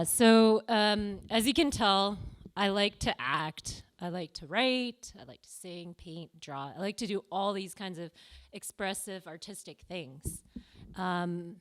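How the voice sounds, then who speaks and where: A young Canadian-born woman performing confessional stand-up comedy at a live venue, self-deprecating and playful. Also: fairly low pitch. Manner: self-deprecating, playful, with sudden loud bursts.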